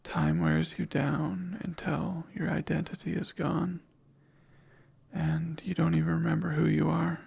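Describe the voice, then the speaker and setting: A man voicing a possessive male sleep paralysis demon in an ASMR roleplay, whispering slowly and teasingly in a gravelly, breathy voice. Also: fairly high pitch, level tone, heavily acted. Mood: taunting. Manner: hushed close whispering, slow and teasing.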